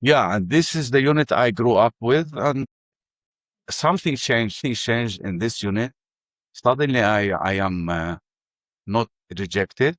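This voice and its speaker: An older man with an Arabic accent telling his life story in halting English full of restarts. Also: mid-range voice, lively intonation, no room sound. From Ramallah. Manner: halting, many restarts and fillers. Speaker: interview guest. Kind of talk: personal testimony.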